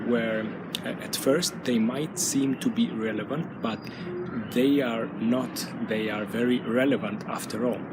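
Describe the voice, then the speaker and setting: A man talks straight to his audience in an earnest, measured way, pausing often and filling gaps with small hesitations. His voice sits at an ordinary middle pitch. He is recorded outdoors, with loud car traffic rumbling close behind him. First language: Greek. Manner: earnest and measured, with frequent pauses and fillers. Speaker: channel host, stuttering coach. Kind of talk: motivational talk to camera.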